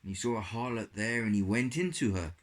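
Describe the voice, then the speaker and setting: A man reading scripture as a storyteller for children, in a deliberate, halting way. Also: on the low side. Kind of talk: slow scripted Bible reading.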